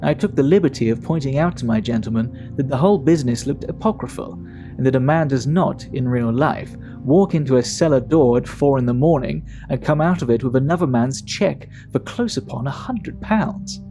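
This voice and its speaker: Man playing a mellow Victorian gentleman storyteller. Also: mid-range voice, lively intonation, faint music behind, lightly acted. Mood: intrigued, measured. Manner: measured storyteller, emphatic, old-fashioned gentlemanly phrasing. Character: Victorian gentleman recounting a grim night-time incident, indignant yet composed. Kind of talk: audio drama, dramatic storytelling.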